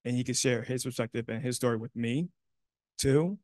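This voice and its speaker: A young man speaking earnestly and in a measured way as a panelist in a university classroom. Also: mid-range voice, darkish tone, dry recording. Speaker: panelist, alumnus. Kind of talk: panel discussion.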